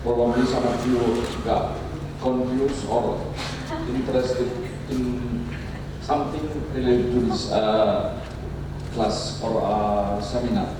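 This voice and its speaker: Halting, slow older man in an echoey hall. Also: mid-range voice, little pitch movement. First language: Indonesian. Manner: halting, with frequent "uh" pauses. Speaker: professor giving a closing speech. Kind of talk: speech at a lecture podium. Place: university lecture hall. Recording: echoey hall from far off, noisy.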